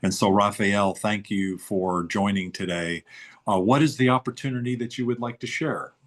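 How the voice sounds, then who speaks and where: A man's voice: lowish voice, normal speed. A man hosting a webinar over a video call, thanking and introducing members. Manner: warm, thanking and introducing guests. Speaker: host.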